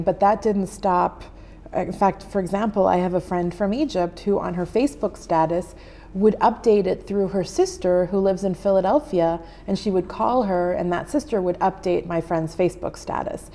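A woman in her thirties with an American accent answers questions in a TV studio, speaking into a lapel mic. Her voice is low and dark with a gravelly edge, and she pauses often to think. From United States. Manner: thoughtful, many "um" pauses, gestures while talking. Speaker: journalist guest. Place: TV studio set.

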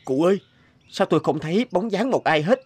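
An earnest, dutiful young knight from a cartoon fairy tale. His formal, solemn lines swing expressively in pitch over prominent background music. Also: expressive, a little fast, darkish tone. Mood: determined, tense. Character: brave, dutiful young male knight torn by love.

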